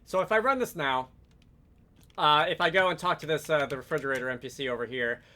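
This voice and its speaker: A man with a fairly high, bright voice walks through a software tutorial. He explains things conversationally, step by step, with casual asides along the way. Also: fast talker. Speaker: tutorial host, game programming instructor.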